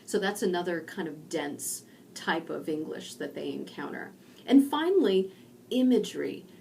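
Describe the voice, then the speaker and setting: A middle-aged woman from rural Indiana giving a recorded online lecture from her home study, explaining slowly with pauses. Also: fairly low pitch, lively intonation, vocal fry, warm tone, distant mic, slight background noise. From rural Indiana, USA. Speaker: university lecturer.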